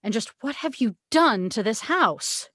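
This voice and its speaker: A woman's voice: on the high side, lively intonation, a little slow, fairly bright tone, no room sound. Woman as a stern, worried mother, close and dry. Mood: stern, upset. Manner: stern, scolding. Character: upset, worried, reproachful mother. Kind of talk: at-home script table read.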